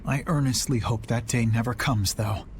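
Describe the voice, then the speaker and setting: An actor playing an earnest young male knight in a video game, speaking calmly and sincerely. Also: mid-range voice, slight background noise, close to an everyday voice. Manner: calm, sincere, measured.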